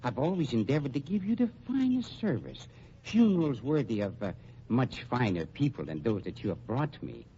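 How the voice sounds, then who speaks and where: A smooth, sinister undertaker played by a man speaks with prim, wounded courtesy and sweeping intonation. His tone is mellow and his formality menacing, on a muffled, noisy old radio drama recording. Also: mid-range voice, very animated, close to an everyday voice. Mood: oily, accusing. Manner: over-polite, prim, mock-hurt, drawn-out formal phrasing. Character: unctuous, sinister male funeral director and underworld schemer.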